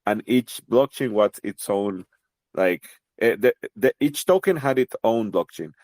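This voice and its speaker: A man's voice: on the low side, expressive, a little slow, a little mellow, dry recording. A man from Costa Rica with a Costa Rican Spanish accent, a native Spanish speaker, talking as a podcast guest in a thoughtful, casual way with many fillers and restarts. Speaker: guest, crypto project representative. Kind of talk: casual interview conversation.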